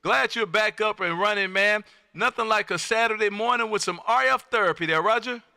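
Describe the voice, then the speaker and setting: A man's voice: high voice, fairly quick, vocal fry, sharp tone, clear, resonant tone. An American man hosting a live ham radio stream, folksy and exuberant, repeating his phrases as he chats on air. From Texas, United States. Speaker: amateur radio operator and livestream host.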